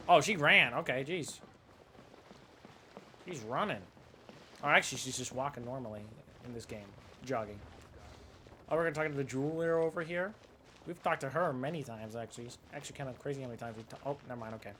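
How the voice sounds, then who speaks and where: A man giving casual, jokey gameplay commentary as a streamer, goofy and excitable, mimicking characters as he goes. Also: medium pitch, a little fast, long pauses, a little hiss or hum. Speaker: game streamer.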